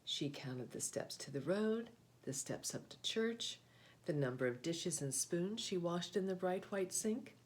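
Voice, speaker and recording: Lower-voiced woman reading a picture book aloud. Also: lively intonation, measured pace. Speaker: read-aloud host, storyteller. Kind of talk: picture book read-aloud with short asides.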